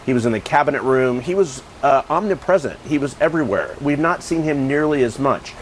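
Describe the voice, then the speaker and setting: A man's voice: medium pitch, lively intonation, fairly quick, a little hiss or hum, low-quality recording. An American man reporting live as a television news correspondent.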